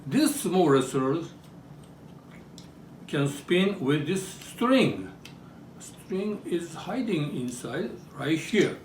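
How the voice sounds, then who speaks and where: A man with a Japanese accent presents a hands-on demonstration in a slow, highly animated delivery. He keeps putting little checking questions to his listeners. The recording is roomy and distant, with some background noise. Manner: frequent checking questions to the audience. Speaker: presenter demonstrating traditional toys. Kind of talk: live show-and-tell demonstration.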